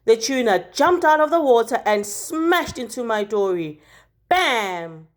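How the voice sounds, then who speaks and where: A woman reading a children's picture book aloud like a teacher, expressively, with deliberate dramatic emphasis on key words. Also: on the high side, very animated.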